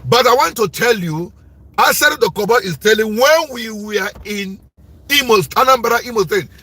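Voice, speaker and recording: Loud, husky-voiced Nigerian man ranting. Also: sits high, lively intonation, normal speed. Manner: forceful, repetitive, preaching tone. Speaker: commentator. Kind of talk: impassioned political commentary.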